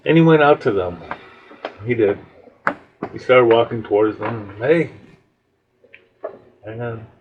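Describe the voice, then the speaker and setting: A man telling a biblical story in an improvised, conversational way, halting and rambling as he reflects. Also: fairly low pitch. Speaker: storyteller, podcast host. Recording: dull lo-fi audio with background noise.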